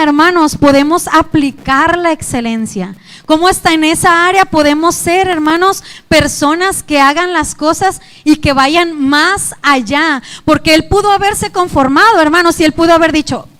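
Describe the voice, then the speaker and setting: A young Mexican woman preaches to her congregation in an earnest, pleading way. Her voice sits high, and her Mexican Spanish accent is clear. She keeps turning back to address her listeners directly. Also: fairly bright tone. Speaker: youth pastor. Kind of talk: sermon.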